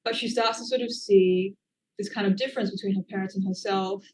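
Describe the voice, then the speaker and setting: A woman's voice: medium pitch, steady tone, brisk, darkish tone, slight background noise, low-quality recording. A woman, an author, answering interview questions in a thoughtful, hedging way with many fillers. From Australia. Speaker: author guest.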